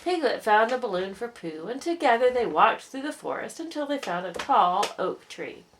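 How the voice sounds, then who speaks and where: A woman reading a children's storybook aloud in an expressive narrating style. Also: on the high side, moderate pace, slight background noise.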